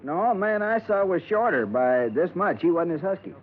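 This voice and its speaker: An actor playing a plain-spoken, obedient young gas station attendant in a 1950s radio detective drama. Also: male voice, high voice, very animated, normal speed. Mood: uneasy, compliant. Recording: thin phone-like old radio broadcast.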